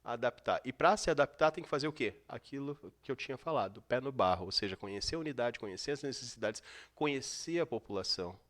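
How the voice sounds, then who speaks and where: A man's voice: medium pitch, animated, quick pace, few pauses, fairly bright tone. A man explaining his work as a guest, reflective and asking rhetorical questions. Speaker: guest expert. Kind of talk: explanatory interview talk.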